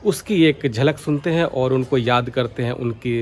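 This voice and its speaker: A man's voice: medium pitch, moderate pace. A man giving informal, explanatory vlog commentary, going back over his points as he speaks. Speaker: radio hobby vlogger. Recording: clearly noisy background.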